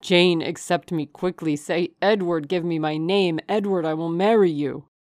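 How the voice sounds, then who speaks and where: A woman voicing a passionate, possessive gentleman lover in an audiobook, speaking in an urgent, imperious, declamatory way. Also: low-pitched, steady tone, unhurried, no room sound, clean studio sound. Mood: wild, possessive. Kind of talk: dramatic audiobook character reading.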